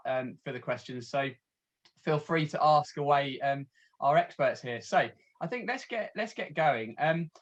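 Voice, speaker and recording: Brief: higher-pitched male webinar host, chatty, dull lo-fi call sound. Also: a bit bright. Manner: chatty, loose and friendly. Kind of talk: webinar hosting. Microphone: video call. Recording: dull lo-fi video call audio.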